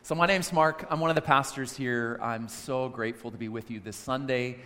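Pastor in an echoing church hall. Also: male voice, medium pitch, steady tone, moderate pace. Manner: warm, hesitant, repeats words. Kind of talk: sermon-style stage interview.

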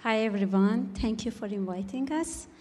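A woman from Iran whose first language is Kurdish, speaking slow, careful English with a Kurdish accent as a guest on a church stage. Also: fairly high pitch, a little mellow, slight background noise. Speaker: church leader. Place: large church hall. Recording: echoey church hall.

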